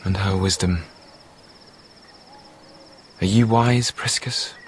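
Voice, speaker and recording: An actor in a radio drama playing a bitter, self-mocking deposed Roman emperor, brooding and questioning. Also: male voice, deep voice, fairly even intonation, slow. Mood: brooding, probing. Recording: noisy night ambience with insect chirps.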